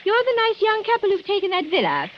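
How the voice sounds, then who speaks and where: High, gushing, flirtatious countess. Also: female voice, wildly swooping pitch, fast talker, exaggerated voice. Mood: gushing, coy. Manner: gushing, theatrical. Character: flirtatious, gushing countess fascinated by wicked things. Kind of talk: 1940s radio acting. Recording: muffled, noisy old radio recording.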